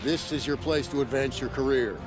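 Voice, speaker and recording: An actor playing a direct, authoritative army officer who briefs and encourages new recruits in a video game. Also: male voice, mid-range voice, fairly even intonation, a little fast, over music, natural voice. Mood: stern, encouraging. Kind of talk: military briefing.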